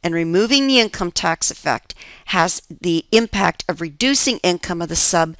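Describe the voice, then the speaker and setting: Woman, instructor, slightly low pitch, dry close sound, methodical lecture delivery, self-answered questions. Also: animated, moderate pace, fairly bright tone, no room sound. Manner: step-by-step, asks and answers her own questions. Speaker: accounting instructor. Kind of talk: explanatory lecture for an instructional video.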